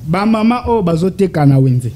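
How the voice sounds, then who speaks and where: A man's voice: high voice, very animated, normal speed, warm tone, slight background noise. A Congolese man with a Congolese French accent, a political analyst answering interview questions with rhetorical questions and stammered repeats.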